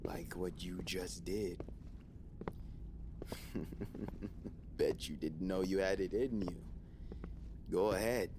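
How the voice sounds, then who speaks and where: A sadistic monster from a horror audio play, whispery and taunting. The words crawl out very slowly in a gravelly rasp. There is clear background noise behind the menace. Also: male voice, deep voice, fairly even intonation. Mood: taunting, gloating. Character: sadistic menacing male nightmare monster who tasted flesh. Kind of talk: horror audio drama acting.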